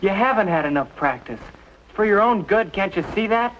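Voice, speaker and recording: A man's voice: high-pitched, expressive, moderate pace, fairly bright tone. An actor playing an anxious, impatient man fretting over a boy in a 1950s television drama. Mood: exasperated, scolding. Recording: muffled vintage TV soundtrack, faint noise.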